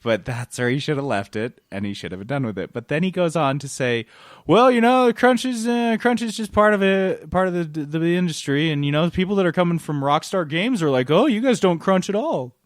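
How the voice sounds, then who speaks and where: A man with a somewhat high voice hosts a casual gaming news podcast. His manner is wry and playful, given to mock quotes in jokey chat. He sounds close and dry, free of room echo. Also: animated, fairly quick. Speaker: podcast host.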